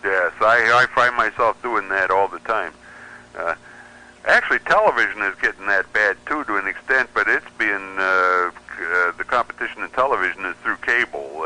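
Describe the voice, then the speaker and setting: Male, American, radio interview guest. Lowish voice with a very sharp, bright edge, explanatory and opinionated. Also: poor audio. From United States. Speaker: radio show guest, pirate radio enthusiast. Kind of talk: conversational radio interview commentary.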